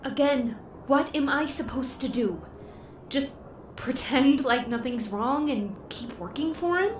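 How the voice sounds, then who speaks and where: A woman's voice: sits high, animated, a little slow, slight background noise. A woman playing a wary, outspoken assistant in a sci-fi audio drama, blunt and questioning. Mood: alarmed. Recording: echoey, clear music behind.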